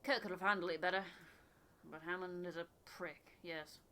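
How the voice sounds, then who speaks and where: Low-pitched woman co-commentating on a game. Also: fairly even intonation, moderate pace, bright, forceful tone, a little hiss or hum. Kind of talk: reading game dialogue aloud and chatting about it.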